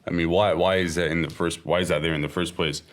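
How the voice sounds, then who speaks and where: Deep-voiced man musing in a panel talk. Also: little pitch movement, fast talker. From South Asia. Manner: thoughtful, hedging with fillers. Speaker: podcast co-host. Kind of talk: casual panel discussion.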